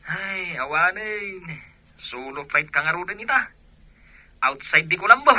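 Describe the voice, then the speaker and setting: Man acting excitable high-voiced buddy on the phone. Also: measured pace, full character voice. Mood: teasing. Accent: Ilocano. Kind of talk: radio comedy drama acting.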